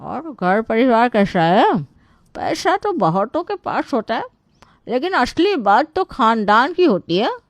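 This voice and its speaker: Lady doing a bossy dramatic auntie for a comic storybook, sarcastic, highish voice, slow drawl, dry close sound. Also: sing-song, dry recording. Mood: shrewd. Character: brash, shameless, gutka-chewing Indian aunt, bossy and theatrical. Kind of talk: Hindi comic storybook acting.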